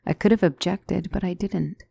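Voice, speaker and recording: A woman playing a gentle demonic mentor in an ASMR fantasy roleplay, speaking softly with formal, measured, reassuring diction and often near a whisper. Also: fairly low pitch, steady tone, creaky, no room sound. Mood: calm reassurance. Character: gentle demonic tutor, female mentor to a young royal.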